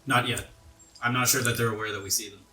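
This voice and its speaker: A man runs a tabletop fantasy game as game master. His voice is low and bright with a forceful edge, recorded in a roomy space over background music. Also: steady tone, fast talker. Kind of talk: narrating a tabletop roleplaying game.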